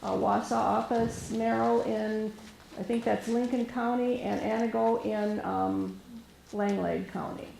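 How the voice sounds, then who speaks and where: A woman with a soft voice giving an informal presentation as a resource specialist, hesitant, with many fillers and restarts. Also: mid-range voice, fairly even intonation, a little slow, vocal fry, slight background noise. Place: meeting room with an audience. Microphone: room microphone recorded for camera. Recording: roomy echo.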